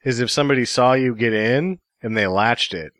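A man's voice: medium pitch, moderate pace, a bit bright, no echo, clean studio sound. A man co-hosting a casual movie podcast, laid-back and joking, often laughing at his own lines. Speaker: podcast co-host. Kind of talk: casual movie-review chat.

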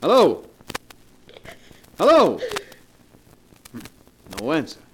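An actor playing an angry, suspicious husband in a forceful, accusing 1940s radio melodrama style. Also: male voice, a little high, wildly swooping pitch, extremely slow, exaggerated voice. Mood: angry, insistent. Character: angry suspicious husband demanding the truth from his wife. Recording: noisy vintage 1940s radio recording.

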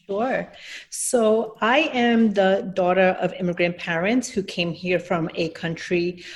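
A woman's voice: on the low side, fairly even intonation, takes it slowly. A woman from New York City, a nonprofit executive, answering interview questions in a thoughtful, explanatory way with frequent restarts.

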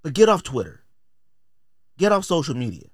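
A man's voice: a little high, very animated, moderate pace, no room sound, studio quality. A middle-aged American man hosting a podcast, talking casually in blunt, slangy, opinionated style. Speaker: podcast host.

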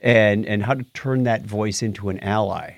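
A man's voice: on the low side, moderate pace, gravelly, dry recording, studio quality. Upbeat middle-aged man hosting. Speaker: podcast host. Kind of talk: casual chat.

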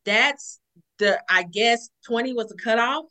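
A woman from Houston, Texas, a podcast guest calling in remotely, telling a personal story in an animated way and acting out what people said. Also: on the high side, a little slow. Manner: animated storyteller, acts out quotes.

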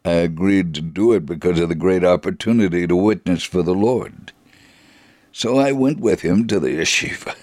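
A man's voice: sits low, moderate pace, darkish tone, no echo, clean studio sound. A man narrating a scripted first-person story as a dramatic reading.

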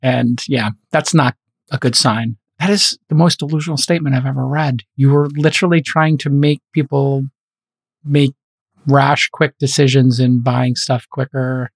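A man hosting a casual panel podcast, mocking and animated, often laughing. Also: medium pitch, moderate pace, slightly rough, dry recording. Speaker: podcast host.

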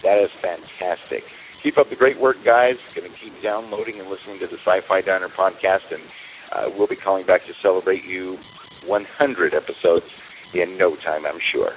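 A man's voice: deep voice, steady tone, normal speed. A man who podcasts, leaving an upbeat, polished congratulatory message on a muffled voicemail. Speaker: podcaster calling in. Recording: muffled, noisy voicemail recording.

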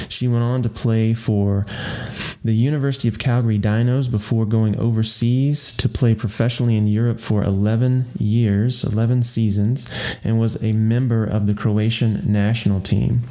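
A man hosting a podcast, introducing his guest and then interviewing her in a relaxed way, often murmuring agreement. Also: lowish voice, normal speed. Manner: relaxed, frequent affirming murmurs. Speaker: podcast host. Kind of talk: casual interview.

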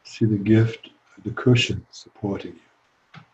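A man leading a guided meditation as a teacher, speaking calmly and gently with long pauses. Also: fairly low pitch, muffled recording.

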